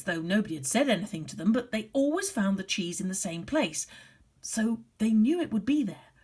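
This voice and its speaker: A woman telling a story to young children like a primary teacher, expressive and deliberate, sometimes hushed. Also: mid-range voice, husky.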